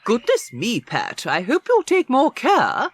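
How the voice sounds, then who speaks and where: Woman playing a fussy, high, swooping village lady. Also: huge pitch swings, measured pace, a little hiss or hum. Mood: reproving. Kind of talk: children's audiobook character voice.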